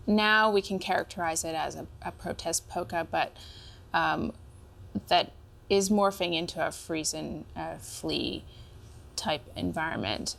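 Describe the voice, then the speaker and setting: Woman, therapy client, slightly low voice with heavy fry, measured, articulate, reflective. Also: fairly bright tone. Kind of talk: reflective personal talk in therapy.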